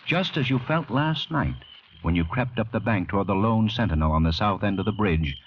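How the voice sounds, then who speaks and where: A man acting as a grave, intense storyteller, narrating an old-time radio drama in a deliberate, dramatic way. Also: fairly low pitch, expressive, a little hiss or hum, close to an everyday voice. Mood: urgent, dread. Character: grave, ominous male storyteller addressing the listener as you. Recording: dull vintage radio recording. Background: loud dramatic music.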